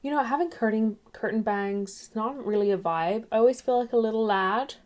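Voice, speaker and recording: A woman's voice: fairly high pitch, fairly quick, darkish tone. A young woman chats into her webcam at home. She rambles in a loose, friendly vlog style and keeps drifting off topic. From England, raised in Ireland. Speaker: YouTuber. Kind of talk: casual chatty vlog.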